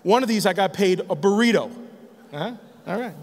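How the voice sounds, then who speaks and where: A high-pitched man in his forties from northern Michigan holds forth from a conference stage, cursing freely and teasing a quiet crowd. His voice carries a long reverb tail from the big hall around him. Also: animated, a little fast. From northern Michigan, United States. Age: 40s. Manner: brash, joking, swears freely, chides the crowd. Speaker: graphic designer giving a conference talk. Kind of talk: loud, profane stage talk with banter to the audience.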